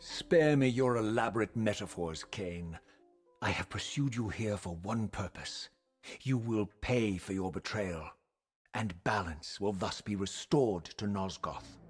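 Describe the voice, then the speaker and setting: A vengeful, tormented wraith in a gothic video game. The voice sits on the low side and speaks with eloquent, archaic formality and grim resolve. Faint music plays underneath. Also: male voice, unhurried, breathy edge. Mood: accusing, defiant. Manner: formal, archaic, solemn diction. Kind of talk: dramatic scripted video game narration and dialogue.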